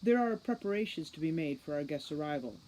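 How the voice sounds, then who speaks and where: A woman's voice: sits low, lively intonation, quick pace, mellow, a little hiss or hum, low-quality recording. A woman reading a storybook as a formal, condescending young boy mastermind who lectures impatiently. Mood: brisk, commanding. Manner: formal, lecturing, precise diction.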